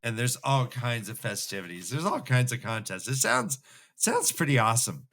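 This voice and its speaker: A man's voice: mid-range voice, sing-song, normal speed, over loud music. A man co-hosting a comic book discussion podcast, speaking emphatically in a mock-scholarly way and repeating himself for effect. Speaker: podcast co-host.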